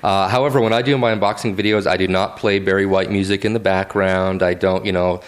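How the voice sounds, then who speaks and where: A man with a very low, deep voice talks about his hobby in a loose, conversational way. He fills pauses with "uh" and "you know" and often restarts his sentences. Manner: relaxed, chatty, frequent fillers and restarts. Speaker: tech video maker interviewed as a guest. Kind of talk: casual interview answers. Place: home basement office.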